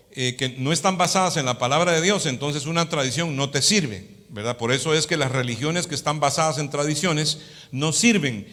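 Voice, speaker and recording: A male pastor with a slightly high voice and a Latin American Spanish accent delivers a teaching sermon. He explains things didactically and keeps tagging his points with short questions seeking agreement. Long reverb from a hall trails his words. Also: bright, forceful tone.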